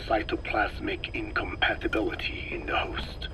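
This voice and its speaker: An actor playing a frail old scientist in a video game, speaking slowly and precisely in a weak, breathy rasp. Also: male voice, fairly low pitch, little pitch movement, in a whisper, natural voice, rough recording. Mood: patient, explaining. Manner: slow, deliberate, precise, scientific. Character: frail old male scientist explaining his work, measured and grave. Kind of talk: dramatic game voice acting, expository dialogue.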